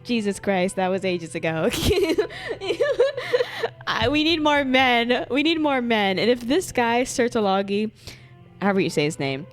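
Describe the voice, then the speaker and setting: A woman's voice: fairly high pitch, huge pitch swings, unhurried. A young woman giving casual, slangy, jokey commentary as she reacts to a video game. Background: game music.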